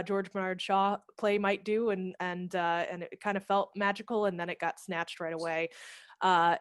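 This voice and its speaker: A woman's voice: on the low side, no echo, clean studio sound. An American woman in her thirties, chatting informally and enthusiastically as a guest in a video interview. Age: 30s. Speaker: theater managing director and actor, interview guest. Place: home room indoors, on a video call. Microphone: headset mic.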